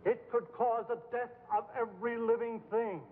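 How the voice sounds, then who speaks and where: A man's voice: very high-pitched, steady tone, normal speed, in a large hall. A man playing an embattled, earnest scientist in 1950s film style, speaking in a formal, declamatory way. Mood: urgent, foreboding. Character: embattled, earnest male scientist pleading to save mankind. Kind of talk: 1950s film acting, oratorical. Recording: hollow, tinny old film soundtrack, hiss.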